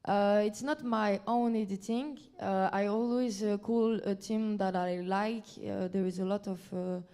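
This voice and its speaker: Mellow-voiced French-accented woman. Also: medium pitch, fairly even intonation, clear, resonant tone. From Belgium. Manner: halting, searching English with many fillers. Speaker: guest singer. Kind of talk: live audience Q&A.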